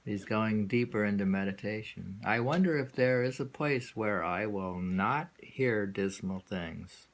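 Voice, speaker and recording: A man giving an informal poetry reading with commentary, musing haltingly and repeating phrases as he explains. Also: lowish voice.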